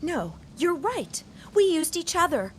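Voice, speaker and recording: A haughty young society woman of the 1910s, played by an actress, with a high voice that swings expressively. She speaks formally and theatrically, proud but wounded, in a period audio drama with faint noise behind her. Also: big pitch swings, a little slow, exaggerated voice. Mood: wounded, bitter.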